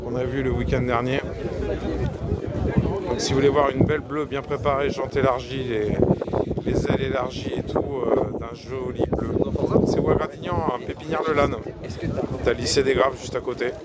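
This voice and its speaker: A man with a hoarse voice chatting casually to his live viewers while walking round a classic car meet in an outdoor car park. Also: medium pitch, little pitch movement, normal speed, heavy background noise. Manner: chatty, addresses viewers and chat directly. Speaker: livestreamer filming a car meet.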